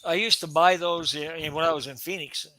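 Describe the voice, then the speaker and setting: A man chatting casually as a guest on a livestream, easygoing and quick to agree. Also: fairly high pitch, steady tone, a crowd in the background. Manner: easygoing, agreeable, laughs along. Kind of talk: casual group chat on a livestream.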